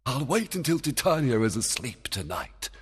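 A man's voice: medium pitch, sing-song, moderate pace, husky, in a large hall, voice pushed up. A man voicing a stern, jealous and scheming fairy king, commanding, in a storybook. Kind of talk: dramatized storybook acting.

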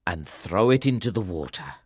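A woman's voice: very deep voice, sing-song, normal speed, husky, dry recording, clean studio sound, voice pushed down, full character voice. A woman voicing a wounded, dying king in a storybook, weary but commanding, with weak, labored speech. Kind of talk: storybook character voice.

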